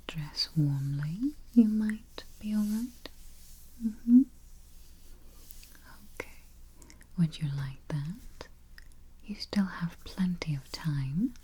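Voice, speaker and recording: A woman whispering softly as a travel agent in an ASMR roleplay, murmuring little mm-hmms between phrases, close to the mic. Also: lowish voice, slight background noise. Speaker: ASMR artist playing a spooky travel agent. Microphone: close sensitive mic. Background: keyboard typing and finger taps.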